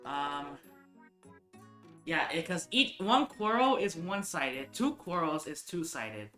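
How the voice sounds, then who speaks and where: Streamer type: bright, sharp tone, talks in loose rambling bursts with restarts, echoey room, faint game music behind. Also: medium pitch, very animated, takes it slowly. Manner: rambling, thinks aloud, frequent restarts. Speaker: live streamer. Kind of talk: casual live-stream chat while gaming.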